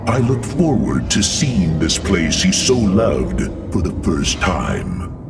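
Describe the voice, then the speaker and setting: An actor voicing an earnest, proud mechanical alien warrior in a video game, solemn and heartfelt in a weighty delivery. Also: male voice, low voice, sing-song, takes it slowly, gravelly, far from the mic, music in the background, full character voice. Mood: wistful. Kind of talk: dramatic video game voice acting.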